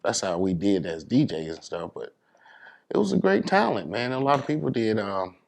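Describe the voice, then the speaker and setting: Low-voiced American man, casual and colloquial. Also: sing-song, moderate pace, vocal fry, a little hiss or hum. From New York City, USA. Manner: colloquial, peppered with "you know what I'm saying". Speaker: DJ, interview guest. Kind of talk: casual interview talk.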